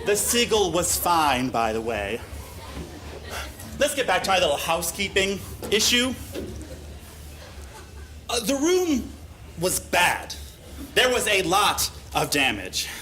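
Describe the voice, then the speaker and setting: A man giving a mock-serious comedic reading of a story live on stage, with deliberate pauses for effect. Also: high voice, huge pitch swings, pushed and bright. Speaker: live reader of a humorous letter. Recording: live stage recording with faint noise.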